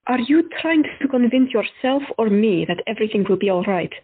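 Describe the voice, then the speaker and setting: A woman's voice: fairly high pitch, lively intonation, fairly quick, breathy edge. A woman playing a frank, teasing doctor in love, speaking candidly in an audio drama. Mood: earnest. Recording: tinny phone-like sound.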